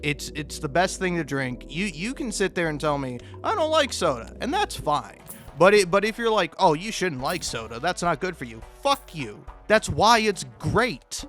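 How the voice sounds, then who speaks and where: A man's voice: high voice, big pitch swings, normal speed, few pauses, a bit bright, faint music behind. A man giving casual, meandering gameplay commentary, swearing freely and poking fun at himself as he chuckles along. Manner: sweary, self-deprecating, meandering; chuckles often while talking. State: high on drugs. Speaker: video game streamer.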